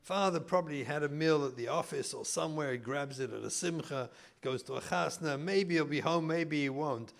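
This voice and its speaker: English-raised rabbi, lively storytelling talk. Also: male voice, fairly high pitch, normal speed, gravelly, few pauses. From England. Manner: storytelling with mock indignant asides and jokes. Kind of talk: humorous lecture to an audience. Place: community hall room.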